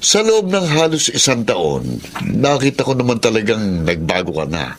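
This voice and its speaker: A man's voice: fairly low pitch, wildly swooping pitch, moderate pace, heavily acted. An actor playing an avuncular, approving Filipino man who helps a younger friend, in a TV drama. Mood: approving, encouraging. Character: encouraging mature male mentor, helpful overseas welder's colleague.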